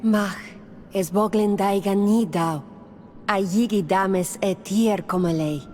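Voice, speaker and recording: A woman's voice: medium pitch, takes it slowly, dark tone, natural voice. An actress playing a young native islander woman in a fantasy video game cutscene. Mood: solemn. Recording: reverberant space with faint ambient noise.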